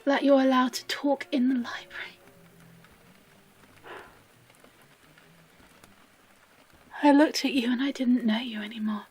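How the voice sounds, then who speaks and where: A woman playing an obsessive, possessive librarian captor in a dramatic audio roleplay, breathy and often whispering, with theatrical pauses and a tenderly menacing manner. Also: high voice, steady tone, a little mellow, faint music behind. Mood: wounded, bitter.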